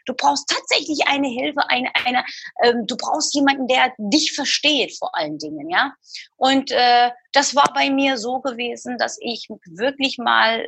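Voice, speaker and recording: A woman's voice: medium pitch, pushed and bright, husky, no echo. A middle-aged woman from Turkey, speaking earnestly and confessionally as an interview guest, often searching for her words. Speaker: guest, former TV presenter. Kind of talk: personal interview.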